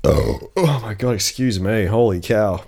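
Deep-voiced congested guy. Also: measured pace, vocal fry, darkish tone. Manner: laid-back, swears, stumbles while reading. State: congested, sniffling. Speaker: podcast co-host. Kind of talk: casual chat, reading a forum post aloud.

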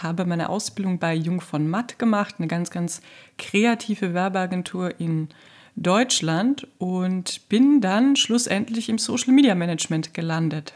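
A woman from Austria, co-hosting a podcast and chatting in a friendly, upbeat way about her path. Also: lowish voice. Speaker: podcast co-host. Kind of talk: casual podcast chat.

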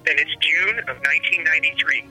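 A man playing a deadpan, nerdy trivia expert heard through a telephone in an audio drama. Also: fairly high pitch, fairly quick, soft background music, rough recording. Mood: deadpan, helpful. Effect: telephone filter.

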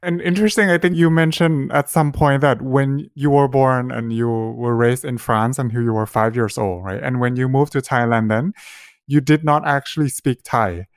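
A man's voice: medium pitch, moderate pace, no room sound, studio quality. A man hosting a podcast, asking easygoing questions of his guest. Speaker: podcast host. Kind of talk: interview questions.

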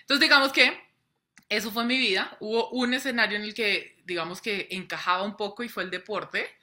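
A woman guest on a podcast, speaking by video call, earnest and often checking in with a quick question. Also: medium pitch, sharp tone. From Colombia. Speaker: guest, personal branding coach. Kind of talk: interview storytelling. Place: home in Colombia.

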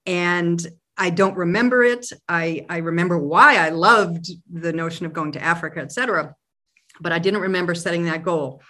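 A woman's voice: on the low side, normal speed, pushed and bright. Reflective middle-aged woman guest. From Oklahoma, USA. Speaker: guest, company president. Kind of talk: interview storytelling.

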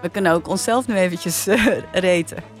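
A woman's voice: lowish voice, normal speed. Dutch woman podcast host over music. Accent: Netherlands Dutch. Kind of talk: casual podcast review chat. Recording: music bed behind the voice.

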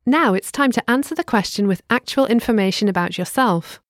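A woman narrating a scripted language lesson, giving clear, measured instructions. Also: on the high side, lively intonation, dry recording, studio quality.

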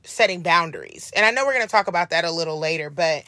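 A woman co-hosting a chatty podcast, her voice a little hoarse today. It sits slightly low and sounds bright, recorded close and dry. She speaks earnestly, leaning on key words. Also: animated, dry recording. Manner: earnest, encouraging, drawn-out emphasis. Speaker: podcast co-host. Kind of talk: casual podcast chat.

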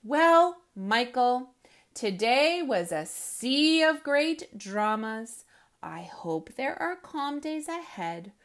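A woman voicing a kind mother who gently questions her son and sends him to bed. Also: sits high, big pitch swings, slow pace, heavily acted. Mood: gentle.

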